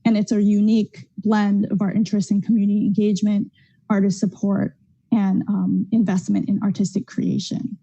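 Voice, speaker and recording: A woman who directs an arts center, giving prepared remarks on a video call in a steady, careful way with frequent "um" fillers. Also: medium pitch, moderate pace, dry recording. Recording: dull lo-fi dry sound.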